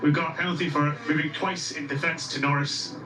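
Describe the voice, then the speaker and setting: An actor playing a calm male race engineer, giving brisk, matter-of-fact instructions over team radio in a racing game. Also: a little high, natural voice. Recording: echoey distant radio with loud noise.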